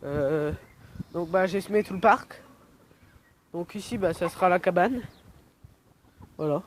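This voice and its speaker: A man's voice: high-pitched, a little slow, slight background noise. A young man with a cold, rambling with lots of fillers as he films his yard outdoors on a phone. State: has a cold. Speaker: vlogger. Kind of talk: casual outdoor vlog. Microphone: phone camera.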